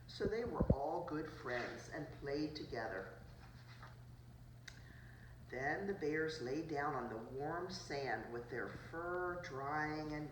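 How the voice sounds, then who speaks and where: A woman preschool teacher reading a picture book aloud to children, adding simple asides about the pictures. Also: deep voice. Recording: roomy, distant mic, noisy background.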